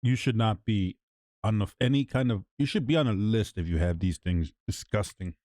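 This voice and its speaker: A man's voice: fairly low pitch, moderate pace, a little mellow. A man giving blunt, profane, informal reaction commentary as a streamer.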